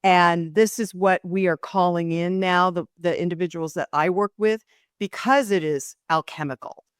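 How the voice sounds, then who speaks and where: A woman's voice: lowish voice, steady tone, normal speed, smooth, no echo, studio quality. A woman hosting an interview podcast, talking earnestly with many fillers and restarts. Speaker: podcast host.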